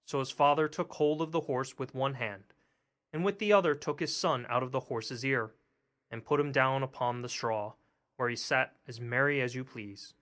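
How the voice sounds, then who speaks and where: A man narrating a fairy tale as an audiobook, in a measured, steady reading. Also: mid-range voice. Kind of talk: scripted storybook narration.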